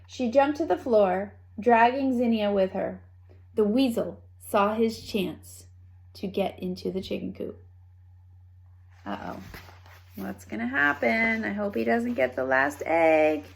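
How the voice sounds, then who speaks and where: Slow, expressive woman reading a storybook. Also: medium pitch, creaky. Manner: expressive, with playful asides to young listeners. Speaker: storybook reader. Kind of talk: children's read-aloud storytelling.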